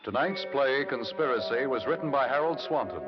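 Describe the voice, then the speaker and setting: A man with an American accent reads scripted credits as a 1940s radio announcer, formal and measured. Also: medium pitch, expressive. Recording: dull vintage radio recording, loud music. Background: loud music.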